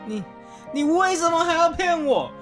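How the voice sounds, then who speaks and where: A man's voice: extremely high pitch, expressive, moderate pace. A man playing an anguished young lover in a radio drama, urgent and pleading. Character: anguished young man desperate over his lost love. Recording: prominent music behind the voice.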